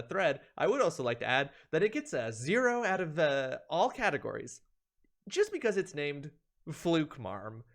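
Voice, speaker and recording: A male streamer with a slightly high voice reads out a humorous ranking as if it were drama. His pitch swings widely, and he leans on words with theatrical, mock-serious emphasis. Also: fairly bright tone. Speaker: streamer, video host.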